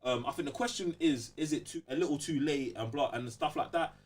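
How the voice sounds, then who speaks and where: A young London man with a fairly high, husky voice makes his points emphatically on a casual podcast. His speech is slangy and full of little check-ins with the listener. He sits a little way back from the microphone. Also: brisk, recorded from a distance. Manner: emphatic, slangy, repeats check-in tag phrases. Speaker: podcast host.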